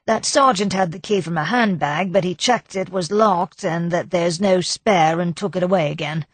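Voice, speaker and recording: A woman's voice: lowish voice, moderate pace, dry recording, lightly acted. A woman voicing a sour, self-righteous lady's maid in an audiobook, curt and grudging.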